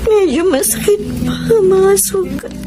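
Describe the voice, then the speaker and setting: Wounded young daughter, very high, emotional, forgiving, radio drama with music. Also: female voice, unhurried. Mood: tearful, forgiving.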